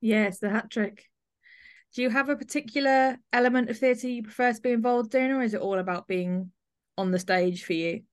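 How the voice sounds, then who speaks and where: A woman's voice: a little high, fairly quick. A woman hosting a podcast, asking questions in a conversational interview style. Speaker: podcast host.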